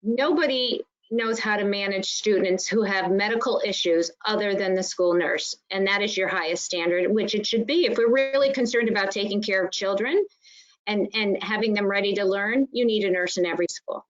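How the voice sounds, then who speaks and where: A woman's voice: mid-range voice, moderate pace. A woman speaking informally as a nurse on an online panel, explaining things in an emphatic way. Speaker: school nurse coordinator on a panel. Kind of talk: informal spoken presentation and discussion in an online meeting. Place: online meeting call. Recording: dull, muffled online meeting audio.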